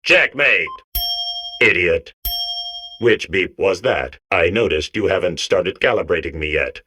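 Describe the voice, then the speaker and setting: A man playing a smug, tricky robot core in a comedic video game, speaking in a deadpan, self-contradicting way. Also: low-pitched, unhurried, noisy background.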